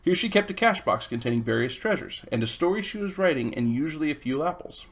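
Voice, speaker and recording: A man's voice: fairly high pitch, animated, fast talker, fairly bright tone. A man reading a children's storybook aloud as its narrator. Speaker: reader.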